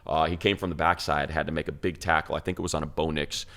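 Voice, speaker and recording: Very deep, gravelly man talking sports. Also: little pitch movement, fast, fairly bright tone. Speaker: football talk show panelist and analyst. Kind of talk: casual sports talk, film breakdown.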